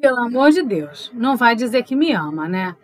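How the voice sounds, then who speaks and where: A woman's voice: on the high side, very animated, normal speed. A woman playing a teasing, inquisitive, unsentimental girlfriend in an audio drama, probing and playful.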